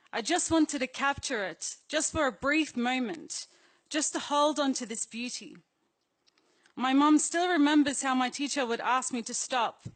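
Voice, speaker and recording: A woman's voice: sits high, poor audio. A young adult woman with an Australian accent, delivering a prepared speech to an assembly as a youth delegate in an earnest, personal manner.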